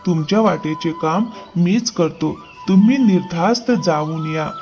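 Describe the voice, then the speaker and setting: Cartoon farmer, male actor: high-voiced man, honest, loyal, eager to help, soft music underneath. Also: unhurried, dull audio. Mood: earnest. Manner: earnest, accommodating. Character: trusting, hard-working, loyal male farmer. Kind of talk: cartoon moral-story dialogue.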